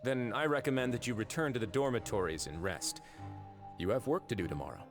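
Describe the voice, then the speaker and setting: Low-voiced, wry prison duke. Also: male voice. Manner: courteous, sardonic, measured. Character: composed, wry male duke running a prison. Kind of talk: video game voice acting.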